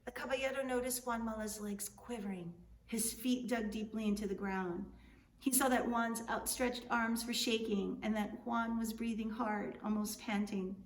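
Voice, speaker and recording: A woman reading a story aloud as its author, in expressive storybook narration. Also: fairly high pitch, normal speed. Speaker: author reading their book. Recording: roomy, distant mic, faint noise.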